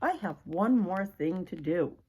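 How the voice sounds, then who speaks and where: A woman voicing a kindly, busy man looking after someone in a children's storybook, speaking in a gentle, explanatory way. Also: lowish voice, animated, measured pace, slightly rough, low-quality recording. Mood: gently suggesting.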